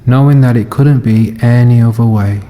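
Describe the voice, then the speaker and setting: A man leading a guided body scan meditation as a teacher, speaking gently and unhurriedly in short phrases with long pauses. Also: medium pitch, steady tone, slight background noise. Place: live session in a reverberant room. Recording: long reverb of a hall.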